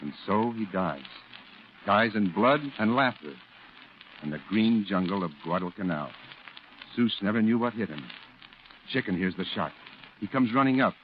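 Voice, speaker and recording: An actor playing a solemn war correspondent, narrating a 1940s radio drama in a grave, declamatory way. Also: male voice, lowish voice, animated, normal speed, long pauses, noisy background, natural voice. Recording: dull old radio recording with music.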